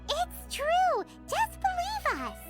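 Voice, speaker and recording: A woman voicing a tiny, blunt, perky girl companion in a video game, with a squeaky childlike voice and exclamatory delivery. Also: huge pitch swings, takes it slowly, faint music behind, full character voice. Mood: insistent, supportive.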